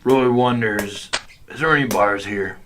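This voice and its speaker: An actor playing a cocky, simple-minded space adventurer who talks about himself by name in a comedic radio drama. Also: male voice, fairly low pitch, unhurried, noisy background, close to an everyday voice. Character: cocky, dim, pleasure-seeking space adventurer who speaks in third person.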